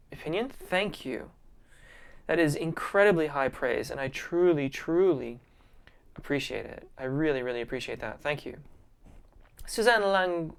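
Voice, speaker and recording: A man's voice: a little high, clear, resonant tone. A man, a vocal coach, hosting a casual live-stream Q&A, reading viewer comments and answering in a friendly, appreciative way. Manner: friendly and appreciative, many ums and restarts.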